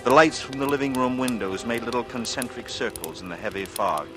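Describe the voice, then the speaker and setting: An actor playing a secretive, coolly sardonic young ex-pilot in a 1940s radio drama, with an American accent and a measured, brooding delivery. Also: male voice, medium pitch, lightly acted. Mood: brooding, wistful. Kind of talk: 1940s radio drama acting, first-person noir narration and dialogue. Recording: vintage radio recording with prominent music.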